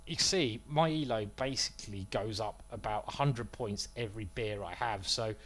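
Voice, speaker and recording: A man's voice: lowish voice, normal speed, fairly bright tone. A man streaming live, commentating his own chess moves as he plays, thinking aloud and joking about his own bad play.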